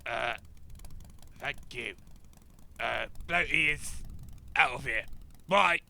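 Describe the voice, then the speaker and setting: A man voicing a shady, druggy toad guide in a comedy audio drama, cheeky and menacing with raspy, slangy patter. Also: mid-range voice, takes it slowly, sharp tone, a little hiss or hum, heavily acted. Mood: brisk. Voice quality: raspy, gravelly. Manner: clipped, slangy street-dealer patter.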